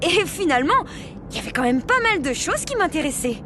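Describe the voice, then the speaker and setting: A woman playing a youthful, teasing aunt in an animated series, with a breezy, playful way of talking. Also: high voice, a little fast, noisy background. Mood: breezy, curious.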